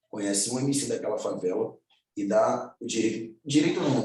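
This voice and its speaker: A man in his twenties from Angola with a carioca accent, telling his story as a musician in a relaxed, slangy way full of fillers. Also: medium pitch. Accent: Rio de Janeiro (carioca). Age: 20s. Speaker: musician guest. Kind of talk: casual personal storytelling. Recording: very echoey room, far from the mic.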